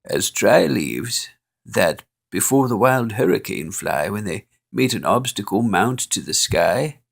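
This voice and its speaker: Gravelly, slow, playful male storyteller. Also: lowish voice. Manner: expressive, playful reading with chuckles. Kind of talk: reading a holiday poem aloud.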